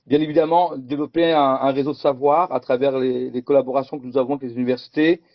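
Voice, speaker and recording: A man's voice: medium pitch, fairly even intonation, quick pace, no echo, dull audio. A middle-aged man from France giving a conference talk with slides, speaking hesitantly and repeating words. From France, Picardy. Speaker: conference presenter.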